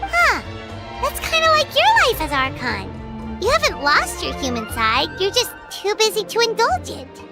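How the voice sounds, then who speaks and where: A woman voicing a tiny, chirpy childlike fairy companion with a squeaky voice in a video game. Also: huge pitch swings, moderate pace, over music, full character voice. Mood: playful. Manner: perky, speaks of self in third person.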